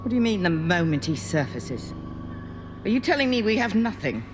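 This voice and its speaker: A steely British security director, played by a woman in a game. She is blunt, authoritative and ruthless, at a medium pitch. Loud music sits under the voice. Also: very animated, normal speed, lightly acted. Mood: angry, commanding. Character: ruthless, commanding female counterterrorism director, sharp-tongued. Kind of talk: video game cutscene acting.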